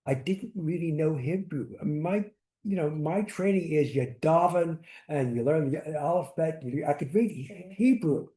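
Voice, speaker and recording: An older man telling personal stories on a community panel, halting and restarting, sprinkling in Yiddish words. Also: fairly high pitch, dark tone. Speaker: synagogue founder on a panel. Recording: echoey room.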